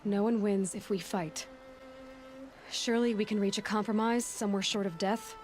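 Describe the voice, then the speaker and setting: An actress playing a self-assured young female hunter in a video game, speaking in a measured, firm, matter-of-fact way. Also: medium pitch, steady tone, darkish tone, soft background music, lightly acted. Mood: firm, conciliatory. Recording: clear ambient noise under the voice.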